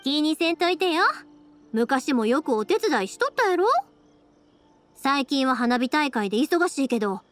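A woman's voice: high voice, animated, normal speed. A woman playing a cheerful young fireworks maker in an anime game, breezy and friendly, with a Kansai accent. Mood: cheerful, helpful. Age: young adult. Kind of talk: Japanese anime game voice acting.

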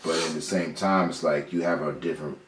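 A young man with a raspy, nasal voice, rambling casually as he gives a rapper feedback in a small home studio. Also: a bass voice, fairly quick. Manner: rambling, animated explaining with mock imitations. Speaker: producer giving feedback. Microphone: far camera. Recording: echoey room from far off.

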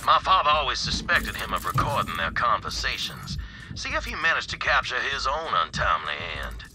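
An actor playing a refined, world-weary gentleman heir in a video game, with a formal, theatrical, drawling delivery. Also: medium pitch, wildly swooping pitch, fairly quick, slight background noise, full character voice. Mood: wry, grim.

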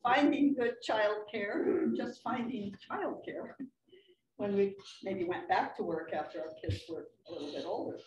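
A woman's voice: medium pitch, unhurried, a little mellow. Older lady introducing a guest, chatty, dull muffled sound, echoey room far from mic. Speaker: meeting host introducing a guest. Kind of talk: reading an introduction. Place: hotel dining room, indoors. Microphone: far room camera.

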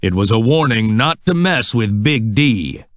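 Man for children's storybook narration: scripted, highly expressive pitch, close dry sound. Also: a little slow, no echo.

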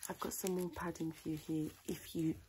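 A woman softly improvising an ASMR personal attention role play as a tailor, chatting in a rambling, chaotic way close to the microphone. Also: deep voice, level tone, a little fast, slight background noise. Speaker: ASMR creator playing a tailor.

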